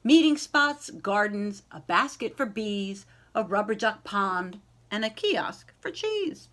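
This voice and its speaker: A woman reading a children's picture book aloud in an expressive storytelling style, addressing young listeners directly. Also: medium pitch. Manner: animated, pausing to ask young listeners questions.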